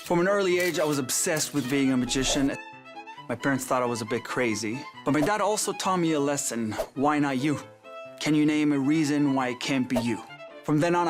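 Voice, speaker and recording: A man from Israel, a magician telling his backstory in an earnest, measured voice-over. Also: medium pitch. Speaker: magician contestant. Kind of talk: scripted intro voice-over. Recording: music behind voice-over.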